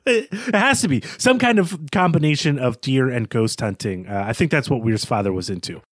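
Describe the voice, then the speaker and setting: A male podcast co-host with a mid-pitched voice that moves around in lively swings. He explains his reading of a book in a thoughtful, conversational manner, recorded dry with no room sound. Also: normal speed, no room sound. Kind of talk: literary discussion, conversational analysis.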